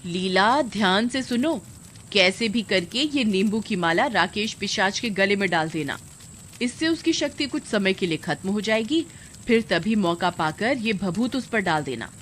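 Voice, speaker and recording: A woman's voice: mid-range voice, animated, measured pace, fairly bright tone, slight background noise. A woman voicing a powerful forest occult woman in a horror story, giving commanding instructions. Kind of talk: Hindi horror-comedy audio storytelling.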